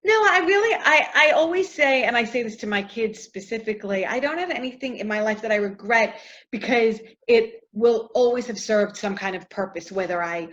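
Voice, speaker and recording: A middle-aged actress answering interview questions in a casual, chatty way, full of fillers and often trailing off softly. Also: female voice, a little high, animated, moderate pace, dull audio.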